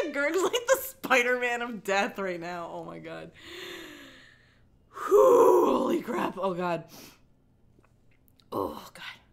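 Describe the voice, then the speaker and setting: A woman livestream host cold-reading aloud with mocking asides, animated, sarcastic and giggly, with casual swearing. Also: mid-range voice, takes it slowly, creaky, pauses often.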